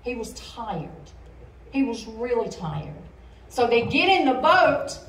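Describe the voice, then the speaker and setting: A high-pitched woman tells a story to children in a call-and-response style. She uses short, repeated phrases to coach them along. The recording is distant and echoey, with clear noise in the church room. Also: big pitch swings, slow pace, a bit bright. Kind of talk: interactive Bible storytelling to children. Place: church sanctuary stage, indoors. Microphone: recorded by a distant audience camera.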